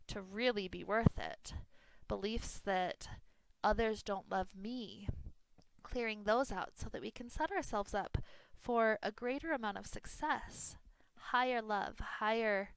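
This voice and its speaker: A woman leads a guided meditation as a yoga nidra teacher. Her medium-pitched voice is soothing, with unhurried phrases and room left between them. The recording is close and dry, with very little room sound. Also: vocal fry, smooth, dry recording, poor audio.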